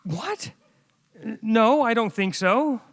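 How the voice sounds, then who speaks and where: Man playing an exasperated programmer, swooping pitch, echoey hall. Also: expressive, a bit bright, full character voice. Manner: exasperated, halting protests. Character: Jeff Jefferson, exasperated male computer programmer on hold. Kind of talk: radio play comedy acting. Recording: echoey hall, live stage performance.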